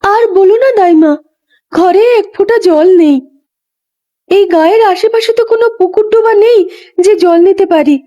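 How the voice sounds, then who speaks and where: A woman's voice: extremely high pitch, normal speed, pauses often, warm tone, lo-fi sound. A woman voicing a friendly, sympathetic young pregnant village woman, chatting familiarly in a horror story drama. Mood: chatty, unworried.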